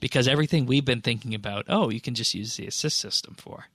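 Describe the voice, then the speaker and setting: A man's voice: mid-range voice, quick pace, a bit bright, no echo, clean studio sound. A man hosting a casual podcast discussion, talking things through as he thinks aloud. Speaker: podcast host.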